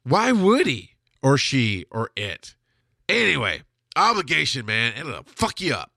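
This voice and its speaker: A man's voice: medium pitch, huge pitch swings, unhurried, vocal fry, pushed and bright, no echo. A man hosting a podcast from his home studio, telling a long personal story in a rambling, profane way with a stuffy nose and frequent laughs. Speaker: podcast host. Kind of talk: casual storytelling monologue.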